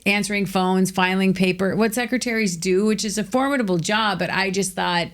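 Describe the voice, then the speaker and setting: A middle-aged American woman telling her life story as a guest, energetic and emphatic, peppering her talk with "like". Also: mid-range voice, a little fast. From United States. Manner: energetic, emphatic, filler-heavy with frequent "like". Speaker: guest, author. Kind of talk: candid storytelling interview.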